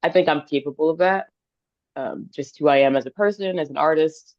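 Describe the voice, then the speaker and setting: A woman's voice: low-pitched, brisk, no echo. A woman in her twenties, a singer-songwriter guest on a podcast, talking earnestly and enthusiastically, thinking aloud. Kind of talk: casual podcast interview. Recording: dull lo-fi dry sound.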